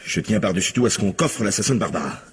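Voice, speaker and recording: An actor playing a hard-nosed, money-minded film producer in a French radio crime drama, blunt and self-important. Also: male voice, low voice, animated, rapid-fire, slightly rough, full character voice. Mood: indignant, blunt.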